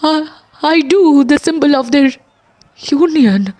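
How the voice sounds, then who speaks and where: A woman's voice: high voice, lively intonation, slow, warm tone. Woman acting a wistful, devoted rebel singer. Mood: sorrowful, resigned. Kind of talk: theatrical stage-play acting in an audio drama.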